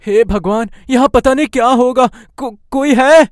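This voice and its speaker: A man's voice: extremely high pitch, moderate pace, dry recording, studio quality. A man voicing a troubled, dutiful young son in a moral-story cartoon, earnest and worried.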